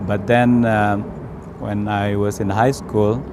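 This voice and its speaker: A man with an Indonesian accent giving an unscripted personal account in a halting, hesitant way with frequent pauses. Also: lowish voice, flat delivery, noisy background. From Indonesia, South Sumatra. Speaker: interviewee telling their own life story. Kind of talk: unscripted personal testimony in English.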